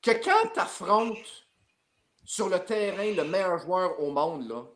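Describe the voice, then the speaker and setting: A man with a Québécois French accent, a sports journalist guest telling a lively story in a podcast chat. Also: high voice, slow. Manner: lively, builds up his story with repeated tags.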